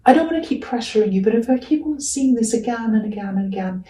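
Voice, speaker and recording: A woman's voice: on the high side, expressive, quick pace, warm tone. Casting: female tarot reader, casual and hesitant, reassuring, slightly crackly voice; roomy sound, set back from the microphone. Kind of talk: casual, improvised tarot reading. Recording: roomy echo, distant from mic.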